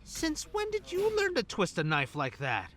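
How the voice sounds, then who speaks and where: A man's voice: extremely high pitch, wildly swooping pitch, moderate pace, a bit bright, heavily acted. An actor playing a brash, wisecracking male secret agent with a street past, dramatic and slangy in an audio drama. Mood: whiny, indignant.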